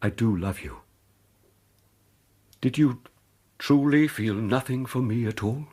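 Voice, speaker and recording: An actor in a British radio drama playing a guilty middle-aged gentleman schemer, remorseful and lovelorn, with a husky British voice and a halting, earnest manner. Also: mid-range voice. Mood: remorseful pleading.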